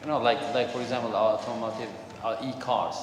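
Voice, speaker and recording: A man moderating an open discussion, halting, with many fillers and restarts. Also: medium pitch, steady tone. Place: lecture hall. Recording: echoey hall, clear noise.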